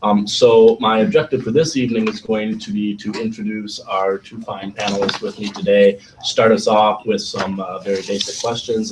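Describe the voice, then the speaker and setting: A man moderating a panel discussion, his voice a little raspy as he gets over an illness, reading guest introductions with some fillers and slips. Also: on the low side, recorded from a distance, background noise. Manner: reads guest bios with fillers and small slips. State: recovering from illness.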